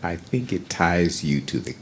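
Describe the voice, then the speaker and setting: A man playing an earnest, dim-witted police detective sidekick in a live radio drama parody, speaking slowly and emphatically. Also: low-pitched, big pitch swings. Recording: noisy live shipboard stage performance.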